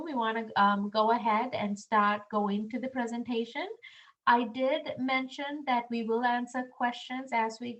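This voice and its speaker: A woman's voice: fairly high pitch, fairly bright tone, highs cut off. A woman from Sri Lanka, an international programs director, presenting a webinar in a friendly way with many "um"s. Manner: friendly, filler-heavy speech with many "um"s.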